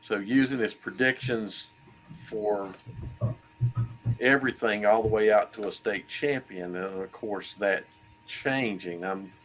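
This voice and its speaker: A man co-hosting a casual sports talk show, speaking in a thoughtful way that trails off quietly. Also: fairly low pitch, slow pace, rough recording. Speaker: co-host.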